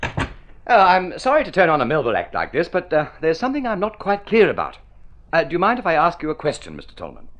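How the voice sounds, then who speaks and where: A man playing a flippant young gentleman spendthrift in a mid-century radio drama, chatty and airy. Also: a little high, huge pitch swings, fast. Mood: politely probing. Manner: chatty, airy, polite hesitations. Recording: dull, lo-fi vintage radio recording.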